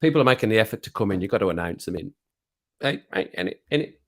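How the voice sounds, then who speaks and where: A man's voice: on the low side, lively intonation, quick pace, fairly bright tone. A man hosting a casual live stream from home over a drink, greeting viewers in the chat and laughing at his own jokes. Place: at home, living alone.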